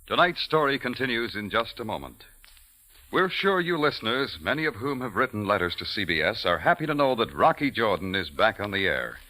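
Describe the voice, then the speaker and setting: A man's voice: fairly low pitch, sing-song, fairly quick, bright, forceful tone, low-quality recording. A man with an American accent announcing in polished, emphatic 1940s radio style.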